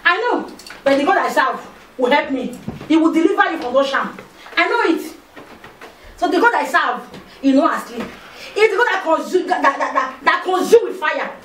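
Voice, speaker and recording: An actress playing a defiant believing wife who answers a rival with rapid, emphatic words of faith. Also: female voice, high voice, animated, fairly bright tone, a little hiss or hum. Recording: echoey room, distant mic, music behind.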